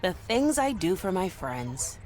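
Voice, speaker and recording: An actress playing a sardonic, tech-savvy young programmer in a video game, blunt and teasing with a dry manner. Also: female voice, on the low side, sing-song, measured pace, a little mellow, a little hiss or hum. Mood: exasperated. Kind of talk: video game character dialogue.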